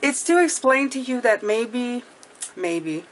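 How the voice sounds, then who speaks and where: A woman's voice: on the high side, animated, slow, slight background noise, low-quality recording. A woman whose first language is French, speaking English with a French accent, gives a casual tarot reading and keeps checking in with "okay?". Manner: chatty, reassuring, often ends with "okay?". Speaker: tarot reader.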